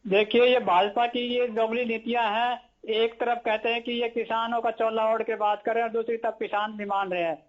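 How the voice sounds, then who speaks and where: Man on a news panel, high flat voice, criticizing, muffled audio. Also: fairly quick. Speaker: debate panelist. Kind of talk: critical political commentary. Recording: dull, lo-fi broadcast audio.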